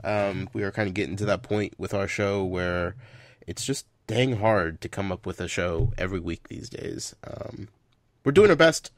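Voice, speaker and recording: A man's voice: sits low, normal speed, no room sound. A man hosting a casual podcast chat, stuffed up from a head cold, rambling with lots of fillers. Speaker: podcast host.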